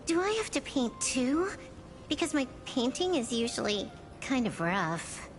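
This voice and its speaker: High-pitched blunt little girl. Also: big pitch swings, unhurried, music in the background. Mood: hesitant. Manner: casual, blunt, slangy. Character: blunt, stubborn little girl, scrappy and informal. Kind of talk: video game voice acting.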